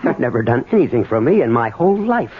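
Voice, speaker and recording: A man's voice: mid-range voice, very animated, fast talker, close to an everyday voice. An actor playing a harried, resentful grown son and businessman, stammering with urgency in a 1970s radio drama. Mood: desperate, pleading. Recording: dull, noisy old radio broadcast.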